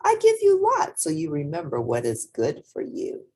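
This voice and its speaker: A woman voicing an elderly Filipino grandmother in a storybook, firm, caring and gently instructive. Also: low voice, wildly swooping pitch, normal speed, a little hiss or hum. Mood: earnest, instructive.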